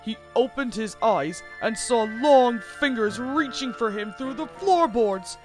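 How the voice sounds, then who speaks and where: Female storybook narrator, lively and expressive intonation, music bed under the read. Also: fairly high pitch, a little slow, low-quality recording. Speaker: storybook reader.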